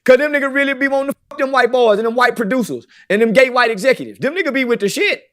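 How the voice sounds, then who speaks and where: Brash boastful man with a very high voice. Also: expressive, very fast, a bit bright. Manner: brash, boastful, preachy, heavy swearing. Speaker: podcast guest. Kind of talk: boastful podcast conversation.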